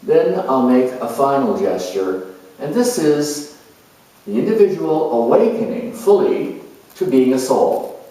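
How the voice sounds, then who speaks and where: Measured male lecturer in an echoey room. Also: mid-range voice, sing-song, mellow. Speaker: astrologer giving a lecture. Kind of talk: explanatory lecture. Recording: very echoey room, distant microphone.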